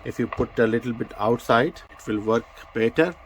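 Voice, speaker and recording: A man who speaks English as a second language gives an unscripted product demonstration, explaining technical details in a halting way with repeated words. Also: mid-range voice, slight background noise. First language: not English. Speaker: presenter of a vintage radio review.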